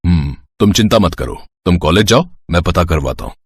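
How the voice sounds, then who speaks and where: Man acting a wealthy middle-aged father, low voice, husky, measured, fatherly, moral-story style. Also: expressive, dry recording, heavily acted. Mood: reassuring. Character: wise, kindly, authoritative middle-aged wealthy builder father.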